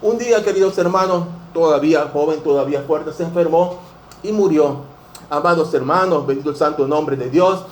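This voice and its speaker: Venezuelan pastor in his 50s, slightly high-pitched, preaching earnestly in a reverberant church with faint background hiss. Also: moderate pace. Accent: Venezuelan Spanish. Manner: earnest, fills every phrase with "dear brothers". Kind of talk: sermon. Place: church sanctuary. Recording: echoey church room, faint noise.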